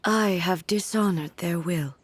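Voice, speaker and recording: A woman playing a solemn, regal female ruler in a video game, speaking formally and earnestly with measured composure. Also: lowish voice, slight background noise, natural voice. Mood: solemn, remorseful.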